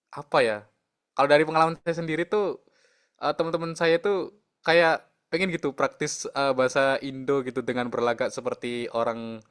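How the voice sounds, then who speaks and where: A man with a Javanese accent shares his experience in a relaxed, chatty way. He stops often to search for words. His voice is smooth and resonant. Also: medium pitch. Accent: Javanese Indonesian. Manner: hesitant, many filler sounds, relaxed chatty. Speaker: exchange program speaker. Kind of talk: casual online sharing session.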